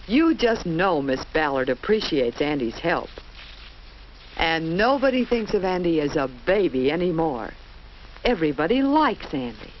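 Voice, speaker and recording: An American woman narrating a 1950s educational film for children in a didactic, storytelling way, with simple phrases and questions to young listeners. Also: fairly low pitch. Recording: dull, noisy vintage 1950s film soundtrack.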